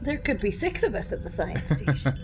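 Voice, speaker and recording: A woman's voice: mid-range voice, wildly swooping pitch, fairly quick. A woman joking around casually as a player in a tabletop game, playful and full of quips. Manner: playful, quipping. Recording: loud music behind the voice.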